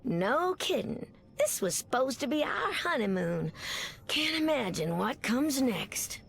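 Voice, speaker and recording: A confident Southern American woman superhero, with a slightly high voice and gravelly creak at the edges. She drawls sassy, folksy game banter. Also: sing-song, measured pace, exaggerated voice. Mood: sassy, assured. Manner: drawling folksy banter, calls people sugar. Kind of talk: video game character dialogue.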